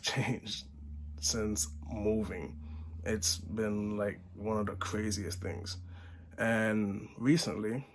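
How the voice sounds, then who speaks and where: A man's voice: on the low side, creaky. A man in his twenties from Haiti, talking earnestly to camera as a channel host, often restarting his sentences and tagging them with "right?". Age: 20s. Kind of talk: casual vlog monologue. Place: Buffalo, New York.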